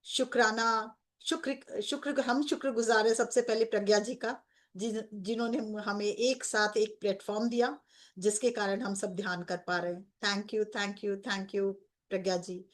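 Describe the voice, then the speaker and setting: A woman with an Indian accent leading a guided meditation as a coach, speaking in gentle, repetitive phrases with pauses. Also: medium pitch, fairly even intonation. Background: background music.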